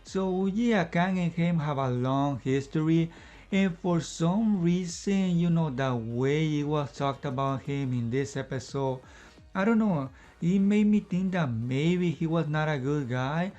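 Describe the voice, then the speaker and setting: A man casually reviewing an anime episode in one unscripted take, slightly out of breath and rambling, filling pauses with "you know" and "I guess you can say.". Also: a little high, mellow, muffled recording.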